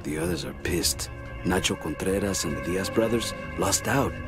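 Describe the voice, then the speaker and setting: A man plays a loyal, uneasy small-time dealer in a video game. His voice is low and he talks in a familiar, confiding street manner, over clearly audible music. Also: normal speed, close to an everyday voice. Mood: worried. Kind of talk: video game cutscene acting.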